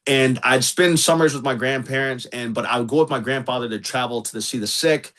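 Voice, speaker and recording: A man's voice: medium pitch, a little fast, fairly bright tone, rough recording. A man from Virginia hosting a podcast interview, affirming his guest and asking friendly questions. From Virginia, USA. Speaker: podcast host. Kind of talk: casual interview.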